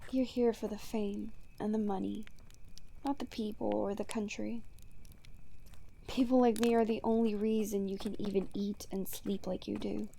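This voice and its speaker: A woman's voice: medium pitch, level tone, gravelly, warm tone, slight background noise, natural voice. A woman playing a taunting, seductive supervillain in an ASMR roleplay monologue, mocking and theatrical. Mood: smug, taunting. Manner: mocking, teasing, theatrical, unhurried, breaking into whispers. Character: taunting female supervillain, smug, seductive, bitter toward heroes.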